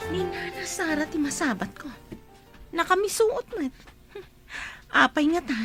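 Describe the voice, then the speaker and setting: A woman's voice: sits high, expressive, a little slow, loud music behind the voice. An actress playing an indignant young woman who confronts an elder in a radio drama. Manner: accusing, indignant. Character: indignant young woman defending her friend.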